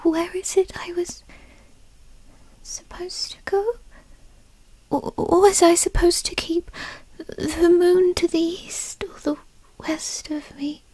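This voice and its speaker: High, whispery young fox-spirit girl, acted by a woman. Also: level tone, mellow, dry recording, rough recording. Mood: frightened, tearful. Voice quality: breathy, often whispery. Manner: halting, stammering, skittish. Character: young bratty female fox spirit, timid but defensive. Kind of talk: ASMR roleplay, scripted character acting.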